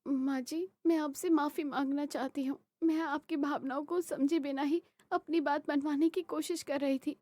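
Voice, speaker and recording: Young, proud daughter-in-law for a family cartoon, female actor; high voice, earnest, emphatic. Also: fairly even intonation, normal speed, hardly any pauses, warm tone, no room sound, close to an everyday voice. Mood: earnest pleading. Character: proud, aggrieved young daughter-in-law, stubborn but respectful. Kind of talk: Hindi animated family drama acting.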